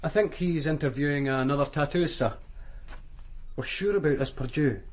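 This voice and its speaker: A man's voice: fairly high pitch, normal speed, close to an everyday voice. An actor playing a polite, slightly hesitant junior detective reporting to his superior in a radio crime drama. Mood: deferential. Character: deferential, hesitant male junior police detective.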